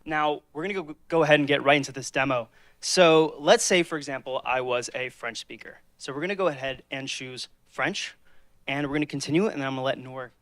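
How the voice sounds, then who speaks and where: A man pitching his team's hackathon app, framing it with a personal story before starting the demo. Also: fairly quick, fairly bright tone, dry recording. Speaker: hackathon presenter.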